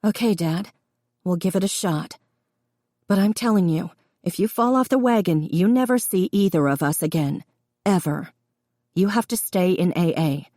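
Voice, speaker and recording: A woman's voice: lowish voice, animated, normal speed, warm tone, no echo, studio quality. A woman voicing a caring but firm grown daughter in an audiobook, plain-spoken. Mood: firm, warning. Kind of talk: audiobook character dialogue.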